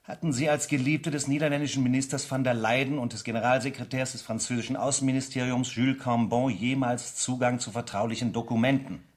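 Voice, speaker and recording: An actor playing a stern official interrogator in a radio play, putting precise, insistent questions in a formal manner. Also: male voice, medium pitch, fairly even intonation, fast talker, hardly any pauses, natural voice. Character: stern, formal male interrogator in espionage case.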